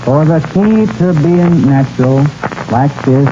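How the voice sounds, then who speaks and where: A higher-pitched naive cowboy sidekick. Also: male voice, expressive, a little slow, noisy background. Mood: reciting. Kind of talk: 1940s radio western acting. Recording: dull vintage radio recording with music.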